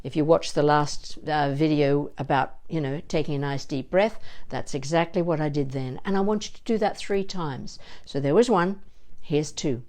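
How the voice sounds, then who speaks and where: Expressive low-voiced woman voice coach. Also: normal speed. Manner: expressive, encouraging, demonstrates with sighs and playful asides. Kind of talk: instructional warm-up demonstration.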